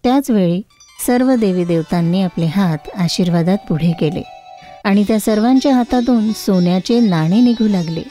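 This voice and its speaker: A woman's voice: mid-range voice, moderate pace, mellow. A woman narrating a scripted moral tale for children as a storyteller. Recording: music behind the voice. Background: background music.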